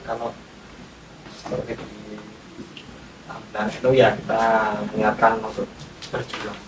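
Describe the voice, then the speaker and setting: A man's voice: fairly low pitch, little pitch movement, measured pace. A man, chatting casually as a podcast guest. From Indonesia. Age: 20s. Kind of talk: casual interview chat. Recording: echoey, distant, noticeable background noise.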